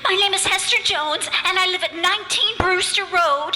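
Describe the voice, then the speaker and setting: A frightened female witness calling the police in a radio crime play. Her voice is extremely high and shrill, echoing through a hall. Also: moderate pace, bright, forceful tone, faint music behind, exaggerated voice. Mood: panicked. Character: frightened woman phoning police to report a stalker. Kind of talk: old-time radio crime drama acting. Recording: echoey hall.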